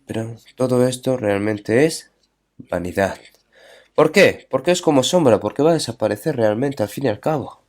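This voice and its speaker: A male preacher delivers a sermon at a middle pitch. He mixes scripture readings with retold stories. He keeps checking in with his listeners through short asides and asks them rhetorical questions. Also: expressive, normal speed.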